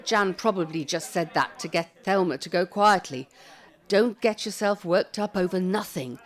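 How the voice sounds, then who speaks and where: A British actress playing a fussy, chirpy elderly care-home resident in an audio drama, brisk and theatrical. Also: female voice, lowish voice, close to an everyday voice. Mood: fussy, exasperated.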